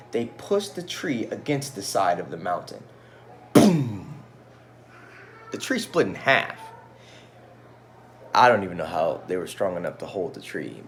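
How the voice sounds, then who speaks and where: A male teacher reads a picture book aloud with very lively intonation. He speaks to children in casual asides, with faint noise behind his voice. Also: on the low side.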